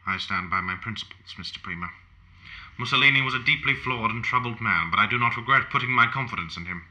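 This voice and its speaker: An actor playing an aging, self-assured fascist poet in an audio play, genteel and formal in manner. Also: male voice, medium pitch, quick pace, pushed and bright. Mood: stubborn, defensive.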